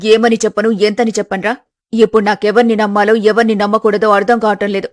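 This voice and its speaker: A woman's voice: mid-range voice, level tone, fast, dry recording, studio quality, lightly acted. Woman as a fretful village mother-in-law. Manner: fretful, complaining. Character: worried mother-in-law Lakshmi, weary village matriarch. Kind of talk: dramatized village family story.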